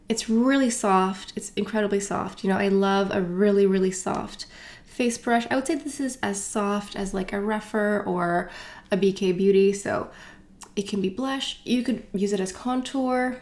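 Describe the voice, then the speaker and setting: A woman's voice: mid-range voice, a little slow, a little mellow. A woman chatting casually as she unboxes and reviews beauty products, rambling with asides and fillers. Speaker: beauty vlogger.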